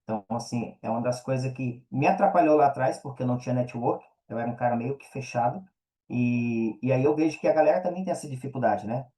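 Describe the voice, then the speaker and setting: A man's voice: medium pitch, quick pace, mellow. A man with a Brazilian Portuguese accent, speaking casually as an interview guest, with slangy phrasing and frequent check-in tags. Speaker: interview guest, affiliate marketer and mentor. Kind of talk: casual conversational interview answers.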